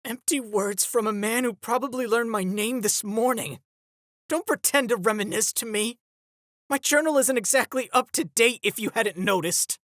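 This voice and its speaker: A woman playing a wounded, defensive teenage boy turned villain in a comic dub, guarded and halting. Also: a little high, fairly bright tone, no room sound, clean studio sound, close to an everyday voice. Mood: bitter, resigned. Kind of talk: dramatic comic dub voice acting.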